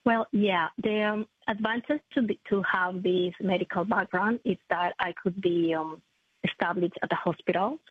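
A woman, a prosthetist answering interview questions in a halting, self-correcting way. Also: on the low side, steady tone, husky, no echo. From Ecuador. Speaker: maxillofacial prosthetist, guest. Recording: tinny phone-like line, dry.

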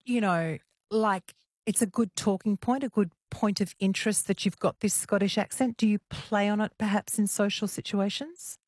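A woman's voice: medium pitch, steady tone, normal speed, dry recording. A woman hosting a late-night radio talk show, chatty and teasing with callers. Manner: chatty, teasing, warm interviewer. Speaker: radio host.

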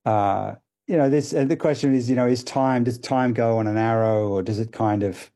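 A man explaining ideas as a radio guest, thoughtful and hesitant, with many pauses and fillers. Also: mid-range voice, mellow. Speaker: guest expert.

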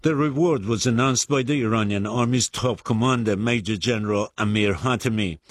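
A man correspondent delivering a measured, formal international news report. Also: medium pitch, dry recording, clean studio sound. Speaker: foreign correspondent.

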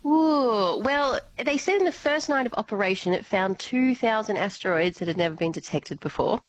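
A woman's voice: mid-range voice, expressive, normal speed, dull audio. A woman explaining science in a bubbly, laughing radio chat.

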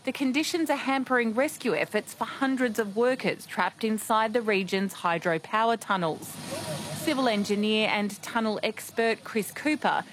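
A woman reporter narrating a news story with clear, steady delivery, asking a question of an interviewee. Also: a little high, normal speed, a little hiss or hum.

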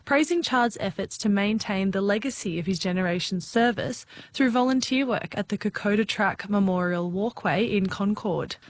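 An Australian woman reporter reading a pre-recorded news package. Her delivery is steady and solemn, at a middle pitch.

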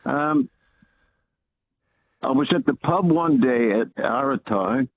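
A Northern Irish man rings in to a radio talk show and spins an easygoing yarn. His voice comes through a thin, tinny phone line. He sits at an ordinary middle pitch. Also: takes it slowly, slight background noise. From Northern Ireland. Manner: chatty storyteller, easygoing. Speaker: caller. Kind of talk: casual phone-in chat.

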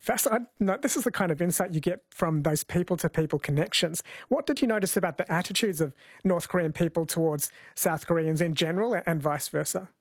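A man's voice: fairly high pitch, fairly quick, few pauses, slightly rough, dry recording, clean studio sound. A man hosting a radio interview, chatting with his guest and reading out listener texts in a conversational way.

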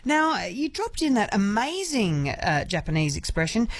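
Playful radio host, lively voice. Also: male voice, high-pitched, dry recording. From grew up in Jakarta. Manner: playful, jokey, quick asides. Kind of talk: radio interview chat. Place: radio studio. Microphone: studio microphone.

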